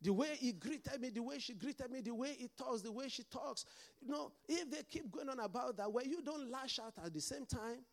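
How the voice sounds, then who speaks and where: A man's voice: extremely high pitch, normal speed, vocal fry. A man with a mixed Nigerian and Scottish accent, preaching a sermon as pastor and calling out to the congregation for responses.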